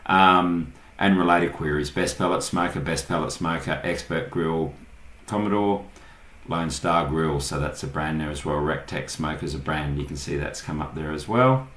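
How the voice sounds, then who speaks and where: Australian male tutorial host, very low register, informal and unscripted, roomy echoing sound. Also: normal speed, fairly bright tone. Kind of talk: casual unscripted screen-share tutorial.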